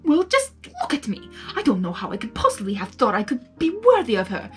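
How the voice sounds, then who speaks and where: A woman's voice: a little high, huge pitch swings, brisk, soft background music. A woman voicing a dramatic male draconequus from a storybook, an insecure yet smug and lovestruck groom, with theatrical emphasis. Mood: self-doubting. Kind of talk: audiobook-style character reading.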